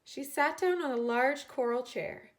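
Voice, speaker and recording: A woman teacher reading a children's storybook aloud in an expressive way, acting out the characters. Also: sits high, unhurried. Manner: expressive, dramatizing characters' lines.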